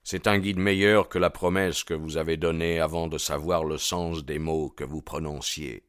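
A man's voice: very deep voice, fairly even intonation, normal speed, clear, resonant tone, no echo, studio quality. A man voicing a bold, ardent young man in an audiobook, proud and insistent in his delivery. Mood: urgent pleading. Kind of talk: audiobook character dialogue.

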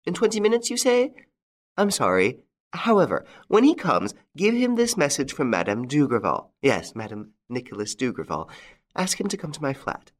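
A man voicing a half-demented, vengeful older widow who shrieks high in panic and hisses in harsh whispers. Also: sing-song, moderate pace, lightly acted. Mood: urgent. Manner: shrill, stammering, then harsh whisper.

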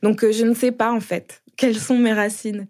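A woman hosting a podcast, speaking conversationally in a halting, thoughtful way. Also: a little high, flat delivery, a little mellow. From Paris suburbs, France. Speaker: podcast host. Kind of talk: conversational personal account.

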